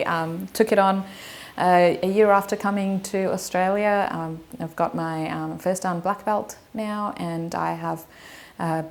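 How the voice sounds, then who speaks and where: Low-voiced young woman telling her story. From Afghanistan. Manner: chatty, hesitant, many ums and likes, laughs lightly. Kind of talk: casual personal storytelling.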